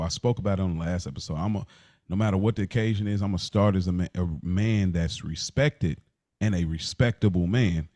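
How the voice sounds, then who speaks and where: A man with a fairly low voice talks as a podcast host in a close, dry recording. His delivery is informal and slangy, and he often repeats phrases to drive them home. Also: dark tone, dry recording. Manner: informal, slangy, emphatic repetition. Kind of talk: casual podcast conversation.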